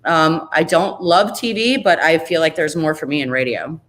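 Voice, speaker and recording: A woman's voice: sits low, expressive, normal speed. A woman in her forties, a radio host, chatting candidly and ramblingly as an interview guest. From Chicago area, US. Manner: candid, rambling, self-deprecating. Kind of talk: casual interview chat.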